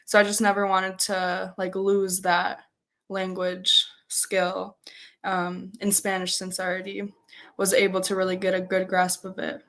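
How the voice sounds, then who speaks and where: A woman in her twenties, a native Polish speaker, answering interview questions as a student in a halting, conversational way with frequent filler "like". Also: medium pitch, completely flat delivery, a bit bright. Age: 20s. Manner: hesitant, peppered with "like". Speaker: interview guest, first-year medical student.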